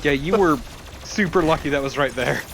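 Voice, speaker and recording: A man's voice: on the high side, moderate pace, creaky, noisy background. A man co-commentating on a video game in a casual, wry, teasing way. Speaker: co-commentator watching the gameplay. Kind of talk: casual gaming chat.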